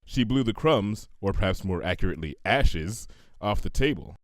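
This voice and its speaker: A man reading a fan fiction story as narrator, in a measured scripted delivery with wry formality. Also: fairly low pitch, expressive, no room sound, clean studio sound.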